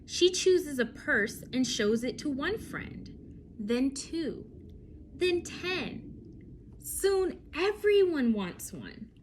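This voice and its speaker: Lady reading bedtime story to kids, slow n super animated, dramatic pauses, bit of background noise. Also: high voice. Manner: deliberate phrasing with dramatic pauses, addresses the listener. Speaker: picture book reader for children. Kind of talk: expressive read-aloud storytelling for young children.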